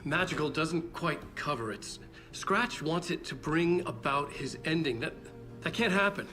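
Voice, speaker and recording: A man's voice: a little high, animated, measured pace, over music. An actor playing a weary, shaken male novelist who stumbles and repeats words when unsure. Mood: earnest. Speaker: writer.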